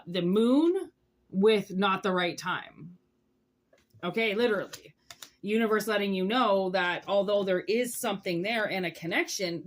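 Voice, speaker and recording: A woman's voice: medium pitch, slight background noise. A woman reading tarot in a casual, chatty way, rambling through asides and checking in with the listener as she goes. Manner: rambling, conversational, with asides and rhetorical check-ins. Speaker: tarot reader hosting zodiac readings.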